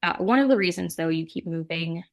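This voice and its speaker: A middle-aged woman from Jamaica speaks as a nonprofit representative in an interview. Her voice sits on the low side and has a strong creak. She explains things reflectively, adding asides as she goes. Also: lively intonation, brisk. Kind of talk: conversational interview answers.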